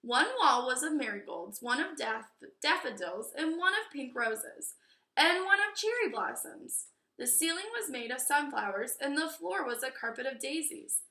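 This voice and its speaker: High, bright-voiced woman reading a storybook. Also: creaky. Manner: slow, expressive, teacherly. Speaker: storybook reader. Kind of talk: animated children's read-aloud with explanatory asides. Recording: echoey room.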